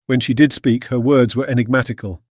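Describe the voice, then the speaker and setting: An earnest Victorian gentleman reads from his diary in a British accent voiced by a male actor. His mid-pitched voice is measured and solemn, in a close, dry audiobook recording. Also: steady tone, no room sound, close to an everyday voice. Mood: solemn, anxious. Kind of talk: scripted dramatic audiobook narration.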